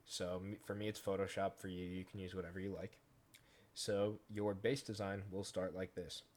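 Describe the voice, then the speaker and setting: A man hosting a software tutorial, explaining each step informally in a casual, conversational way. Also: low-pitched, level tone, normal speed. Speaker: tutorial host. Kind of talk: casual screen-recorded software tutorial narration.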